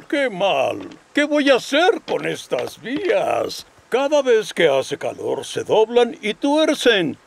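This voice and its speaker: A man's voice: high voice, creaky, heavily acted. A man playing a pompous, grumbling middle-aged railway boss in a children's cartoon, fussy and exclaiming. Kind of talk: animated children's series dub.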